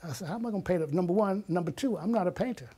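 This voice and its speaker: A man in his sixties with a fairly high voice speaks as an interview guest. He reminisces conversationally, telling his story in an animated way and slipping into remembered dialogue. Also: very fast, slightly rough. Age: 60s.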